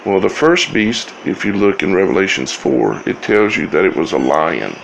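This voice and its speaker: A man giving informal scripture commentary as a video host, speaking in halting, broken phrases with long pauses. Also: deep voice, animated, creaky, slight background noise, low-quality recording.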